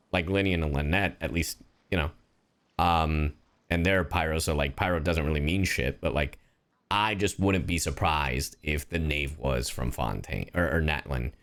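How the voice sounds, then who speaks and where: A man's voice: sits low, a bit bright. A man giving casual live-stream game commentary in a slangy, profane manner.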